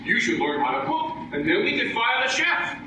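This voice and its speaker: A man acts out a girl-chasing, teasing male comic from an old-time radio vaudeville act. The voice is very high and swings theatrically up and down. It comes from far off in an echoing space. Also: sing-song, normal speed. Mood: cheeky, theatrical. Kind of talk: live old-time radio comedy routine. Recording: echoey hall, distant mic, noisy.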